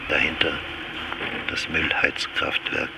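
A brooding observer narrates in a radio drama. His extremely deep voice is dry and observational, amid heavy vehicle noise and radio sound. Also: level tone, takes it slowly, heavily acted. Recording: loud car and radio noise.

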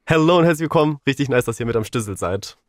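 A man's voice: mid-range voice, lively intonation, very fast, no room sound. Young man, podcast co-host, laid-back, dry studio sound. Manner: laid-back, sprinkles in English phrases. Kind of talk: casual podcast chat.

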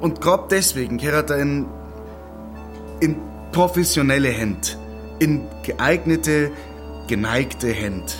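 A man's voice: on the high side, takes it slowly, long pauses, over music. A man playing a scheming, patronising village dignitary in an audio drama, hedging and cajoling in Bavarian dialect.

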